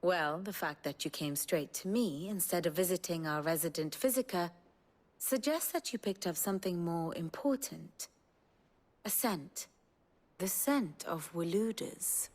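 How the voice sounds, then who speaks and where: A woman playing a composed, wry scholarly strategist in a video game, speaking in a measured, eloquent, theatrical way. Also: on the low side, lively intonation. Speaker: strategist and lorekeeper. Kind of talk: dramatic video game acting, lecturing. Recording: music behind the voice.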